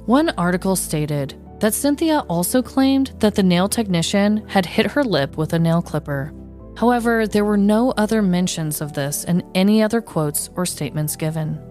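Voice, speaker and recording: A woman's voice: medium pitch, expressive, moderate pace, darkish tone, soft background music. A woman co-hosting a podcast, telling a story and talking to listeners. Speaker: podcast co-host. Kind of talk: scripted storytelling narration, then casual chat.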